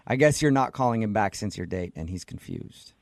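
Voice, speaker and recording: A man's voice: on the low side, animated, a little fast, gravelly, no echo. Male radio host, close dry studio sound. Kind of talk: phone prank radio segment chat. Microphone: close studio radio microphone.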